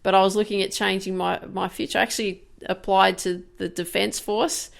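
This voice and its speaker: A woman in her fifties hosting a podcast, speaking candidly and haltingly in a reflective personal monologue, with many restarts and fillers. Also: medium pitch, flat delivery, vocal fry. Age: 50s. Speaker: podcast host.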